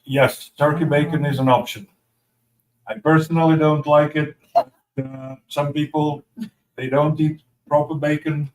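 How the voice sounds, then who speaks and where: Man describing his crepes, halting. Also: fairly high pitch, flat delivery, roomy sound, recorded from a distance, background noise. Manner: hesitant, many uh fillers while describing dishes. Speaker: restaurant owner. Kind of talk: casual chat.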